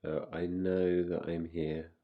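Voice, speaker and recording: A man guiding a relaxation meditation in a soothing, unhurried manner, leaving long pauses between short phrases. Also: sits low, level tone, narrow, tinny audio. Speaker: meditation guide. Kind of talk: guided relaxation meditation, yoga nidra.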